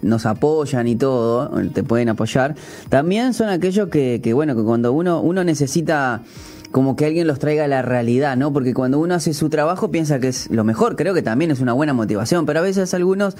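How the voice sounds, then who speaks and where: Male Uruguayan radio host, higher pitch, chatty, hesitant, many fillers. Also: expressive, fairly quick, a little mellow, soft background music. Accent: Uruguayan Spanish. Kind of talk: radio interview. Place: radio studio.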